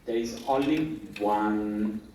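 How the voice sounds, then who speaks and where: Slow, low-voiced man. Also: mellow. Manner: hesitant, with fillers and restarts. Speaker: event organizer giving instructions. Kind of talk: informal announcements to an audience. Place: large hall at a literature event. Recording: echoey hall, far from the mic, faint noise.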